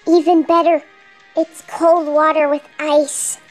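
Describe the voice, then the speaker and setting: A woman voicing a playful, high-spirited young woman prankster in a fan story read aloud. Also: level tone, slow, soft background music. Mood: excited, mischievous. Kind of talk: fan fiction character voice.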